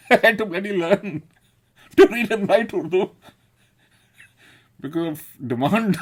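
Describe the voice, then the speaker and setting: A man's voice: high-pitched, animated, slow, a bit bright, breathy edge. A man from South India, talking in a casual, digressive monologue, laughing often and swearing. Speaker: video essayist. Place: London.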